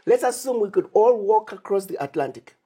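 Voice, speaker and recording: A woman's voice: medium pitch, expressive, fairly quick, warm tone, breathy edge. A woman from Zimbabwe, an interview guest who speaks emphatically, laying out plans as lists and retelling conversations. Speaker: interview guest, Pan-African advocate.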